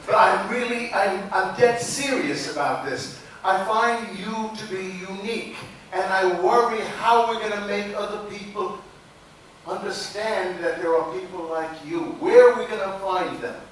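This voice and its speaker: A man in the audience asking an earnest question in a loud voice, speaking without a microphone. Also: high voice, a little slow, a little hiss or hum. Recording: echoey room from far off.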